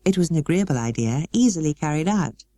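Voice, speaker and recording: A woman with a British accent narrating a children's novel as an expressive audiobook reader. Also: sits low, fast talker, no room sound. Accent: British English. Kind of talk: expressive scripted storybook narration.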